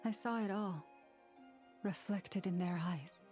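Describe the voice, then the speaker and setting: An actress voicing a calm, solemn priestess with antlers, speaking formally in game dialogue. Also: female voice, on the low side, takes it slowly. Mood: gentle. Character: serene antlered female priestess, gentle and solemn. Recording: game audio with faint music behind.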